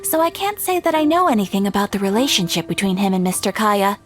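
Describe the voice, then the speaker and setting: A woman's voice: mid-range voice, very animated, fast, close to an everyday voice. A woman voicing a polite young guild receptionist who speaks in a courteous, formal way. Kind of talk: game voice acting.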